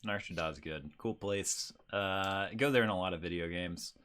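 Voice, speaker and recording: A man's voice: low voice, normal speed, a bit bright, clear, resonant tone. A man hosting a podcast in a casual, laid-back and jokey way. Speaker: podcast host. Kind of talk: casual chat.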